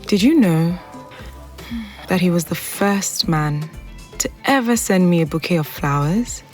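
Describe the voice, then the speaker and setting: Unhurried, low-voiced, wistful woman. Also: animated, faint music behind. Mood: wistful, forlorn. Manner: sighing, wistful. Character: lovestruck, wistful young woman, the secret lover. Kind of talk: naturalistic audio drama acting.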